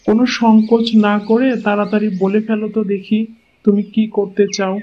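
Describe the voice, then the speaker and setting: A man's voice: sits high, level tone, a little fast, natural voice. A man voicing a doting, easygoing village husband in a cartoon, affectionate and teasing. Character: doting, easygoing village husband, indulgent toward his wife. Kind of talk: cartoon moral-story voice acting. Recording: dull lo-fi sound with clear noise.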